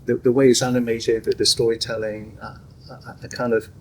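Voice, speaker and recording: A man's voice: mid-range voice, level tone, a little fast, rough recording. A man hosting an interview podcast, attentive, often adding short agreements as his guests talk. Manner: attentive, frequent short backchannels. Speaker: podcast host.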